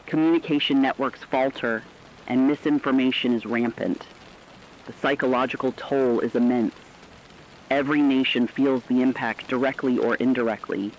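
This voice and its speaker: A man playing a sombre radio war correspondent, delivering a scripted news bulletin in a solemn, dramatic, deliberate way. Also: mid-range voice, fairly even intonation, lightly acted. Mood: grave, urgent. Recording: dull lo-fi radio sound, faint noise.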